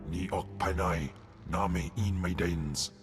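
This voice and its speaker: A man playing a slow, deep-voiced alien being in a video game, speaking an invented tongue. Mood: ominous. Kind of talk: video game cutscene acting. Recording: music under the voice.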